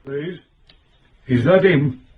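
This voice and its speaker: A querulous old British dad in a radio play, suspicious and needy. He nags in blunt, short phrases, the voice very high for a man and the sound slightly dull. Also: takes it slowly. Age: older. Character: needy, suspicious, nagging elderly father. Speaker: actor. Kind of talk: radio drama acting.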